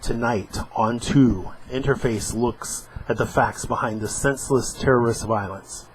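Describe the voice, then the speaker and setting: Guy reading a dull tv announcer plugging shows, slow. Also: mid-range voice, crowd noise, natural voice. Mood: announcing. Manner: announcing, promotional. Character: bland male TV announcer.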